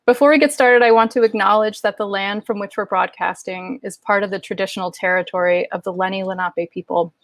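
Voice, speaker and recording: A woman's voice: medium pitch, steady tone, brisk, hardly any pauses, no echo. A middle-aged woman hosting an online program from home, introducing it in a casual presenting style over a video call. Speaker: host. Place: home room indoors, kitchen shelves behind. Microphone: video call, wearing headphones.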